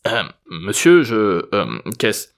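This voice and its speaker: A man's voice: medium pitch, a little fast, fairly bright tone, close to an everyday voice. A man voicing a jaded, suspicious policeman in an audiobook story, with a curt, weary manner. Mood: baffled, weary. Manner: curt, would-be firm, weary.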